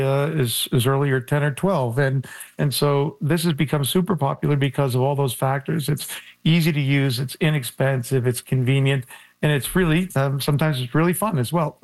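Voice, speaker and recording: A man giving a measured, step-by-step explanation as an expert guest in an interview. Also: fairly high pitch, a little mellow. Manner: measured, builds points step by step.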